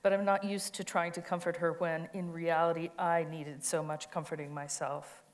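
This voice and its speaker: A woman's voice: lowish voice, flat delivery, normal speed. A woman giving a dramatic reading of an autobiographical monologue, with a tender, emphatic storytelling delivery. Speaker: co-reader of a monologue.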